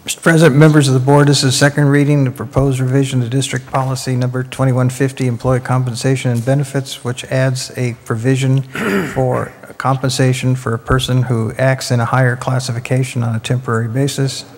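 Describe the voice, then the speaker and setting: A man's voice: mid-range voice, brisk, hardly any pauses. An older man giving a formal report to a board as general manager, reading from notes at a desk mic in a council chamber. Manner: reads formally from notes, flat delivery. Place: council chamber, seated at the dais. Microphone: desk gooseneck mic. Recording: echoey council chamber audio.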